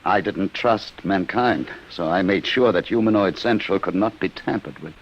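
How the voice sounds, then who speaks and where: A grave, weary inventor in an old radio play, extremely deep and gravelly. He speaks formally and deliberately, heavy with remorse. The recording is muffled with faint hiss. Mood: grave, determined. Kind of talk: 1950s radio drama acting.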